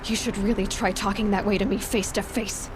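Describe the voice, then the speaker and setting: A woman's voice: mid-range voice, steady tone, a little fast, noisy background, natural voice. An actress playing a stubborn, questioning young female adventurer in a video game, earnest with a sardonic edge. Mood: defiant. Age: young adult. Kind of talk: dramatic video game acting.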